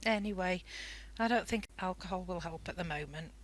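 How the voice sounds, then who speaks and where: A woman's voice: lowish voice, fairly quick, natural voice. A woman playing an anxious, wry mother with a British accent in a wartime radio play. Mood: disapproving. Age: adult.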